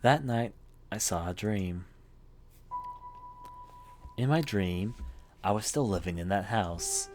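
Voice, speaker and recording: A man's voice: lowish voice, slow, long pauses, darkish tone. A man reading a visual novel aloud as a let's play host, narrating with his own off-the-cuff commentary, game music behind him.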